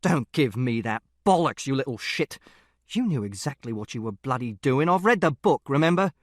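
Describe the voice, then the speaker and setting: A man voicing an angry, exasperated, foul-mouthed father in an audiobook, speaking slowly and forcefully. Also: mid-range voice, lively intonation, no room sound, studio quality, close to an everyday voice. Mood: loud anger. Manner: slow, forceful, swearing, swinging from quiet menace to loud.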